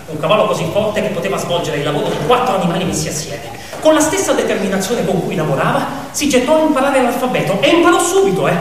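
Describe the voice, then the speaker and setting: A man narrating a fable as a theatrical dramatic monologue, with emphatic build-ups and pauses. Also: high-pitched. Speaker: actor and storyteller. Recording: echoey, distant reverberant hall with music.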